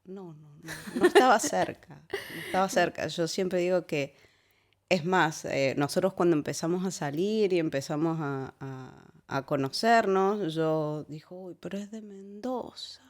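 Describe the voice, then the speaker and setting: A woman in her forties from Argentina with a Córdoba accent, telling her life story as a guest in a relaxed interview, talking haltingly, repeating words and laughing easily. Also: on the low side.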